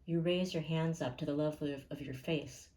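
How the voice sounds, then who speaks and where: A woman leading a guided meditation in a calm, gentle and unhurried way, leaving pauses between phrases. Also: low-pitched, rough recording.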